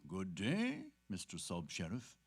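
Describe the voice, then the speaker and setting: Actor as a low-voiced, measured Dublin gentleman. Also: huge pitch swings, gravelly, husky, exaggerated voice. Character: measured, dry-witted middle-class Dublin gentleman. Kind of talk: staged dramatic reading of a novel.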